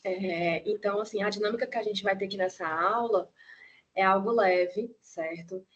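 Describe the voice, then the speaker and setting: Flat-voiced woman with a Ceará accent. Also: mid-range voice, moderate pace, muffled recording. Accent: Ceará, Brazil. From Ceará, Brazil. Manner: conversational, many "né" tag questions. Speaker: university nursing lecturer. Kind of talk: online lecture. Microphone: video call.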